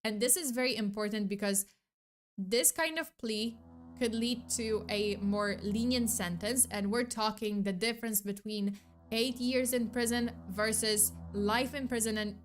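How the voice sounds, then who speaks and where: A young woman tells a true crime case in loose, rambling chat, full of likes, uhs and self-corrections, with a casual swear here and there. Her voice is tired and fraying from long talking, and music plays clearly underneath. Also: medium pitch. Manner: chatty, lots of fillers and restarts, swears casually. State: losing her voice. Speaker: true crime vtuber host. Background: background music.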